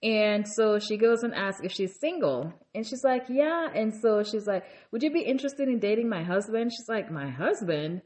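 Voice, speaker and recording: A woman's voice: normal speed, few pauses, darkish tone. A woman hosting a casual reality TV recap, chatty and conversational, with many fillers and asides, raising her voice to act out quoted lines. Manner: acts out quoted lines in a raised pitch. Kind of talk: casual episode recap commentary.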